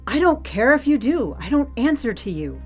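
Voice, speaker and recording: A woman's voice: fairly high pitch, very animated, moderate pace, breathy edge, faint music behind. Woman playing a sardonic, hard-edged security chief. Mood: curt, defiant. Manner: pointed, sardonic questioning. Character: hard-edged head of security, probing, unflappable, defiant. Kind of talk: audio drama acting.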